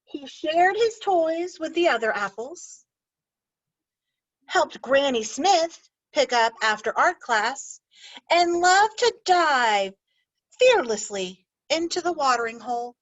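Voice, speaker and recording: A woman teacher reading a picture book aloud to children, pausing to explain words and ask gentle questions. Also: high voice, expressive, no room sound, highs cut off.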